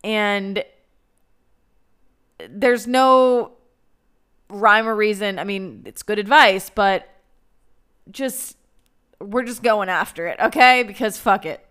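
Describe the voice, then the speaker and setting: Blunt young American woman, smooth voice. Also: medium pitch, slow pace, long pauses, fairly bright tone. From United States. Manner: blunt, candid, swears freely. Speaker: advice vlogger. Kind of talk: casual advice monologue.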